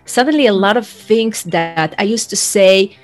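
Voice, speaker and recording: A woman's voice: medium pitch, normal speed, darkish tone, soft background music. A woman from Israel whose first language is Hebrew, reflectively telling her life story as a guest over a video call, often restarting her sentences. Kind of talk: conversational storytelling.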